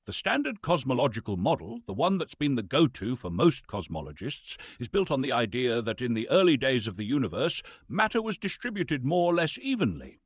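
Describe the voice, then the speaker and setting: A man's voice: medium pitch, wildly swooping pitch, a little fast, few pauses, husky, no echo, clean studio sound. A man playing a pompous, grumpy British Shakespearean actor who is posing as a lecturer in a comic monologue. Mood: grandiose lecturing. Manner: pompous, irascible, declamatory.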